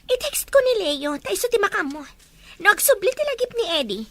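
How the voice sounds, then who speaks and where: A woman's voice: extremely high pitch, sing-song, brisk, fairly bright tone, no room sound, full character voice. A woman playing a remorseful, pleading pregnant young mistress in an Ilocano audio drama. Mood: resigned.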